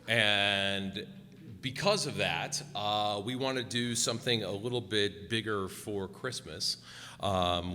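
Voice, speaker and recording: Hesitant man with a forceful, bright tone. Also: fairly low pitch, big-room sound, slight background noise. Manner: hesitant, with fillers. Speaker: troupe member announcing shows. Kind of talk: casual announcement. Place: stage before a live audience.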